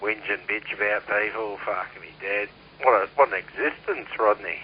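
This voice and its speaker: A man's voice: lowish voice, normal speed, slightly rough. An Australian man chatting casually over CB radio in a blunt, rambling, sweary manner. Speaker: CB radio operator. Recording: muffled, noisy CB radio transmission.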